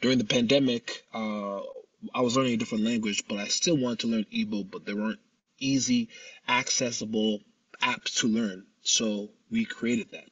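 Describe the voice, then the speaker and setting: A man from the United States, a startup founder answering interview questions in a measured, steady way with occasional pauses. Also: fairly low pitch, husky, no room sound, distant mic, lo-fi sound.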